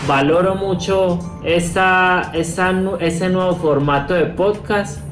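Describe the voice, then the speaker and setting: A man around forty giving a measured, earnest, reflective testimonial. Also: high voice. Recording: echoey room, faint music.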